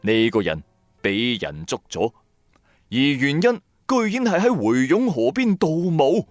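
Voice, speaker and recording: Animated Cantonese male storyteller over faint music. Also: mid-range voice, measured pace, dry recording, studio quality. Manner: colloquial, expressive. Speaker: storyteller narrator. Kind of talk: dramatic first-person supernatural story narration.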